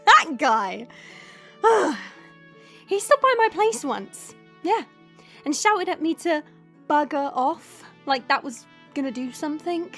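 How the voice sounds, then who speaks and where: A woman acts out a cheeky, restless female vampire in an audio drama. Her voice is high and swings widely as she teases with playful sarcasm. Music plays faintly underneath. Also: animated, slow pace, long pauses. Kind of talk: audio roleplay acting.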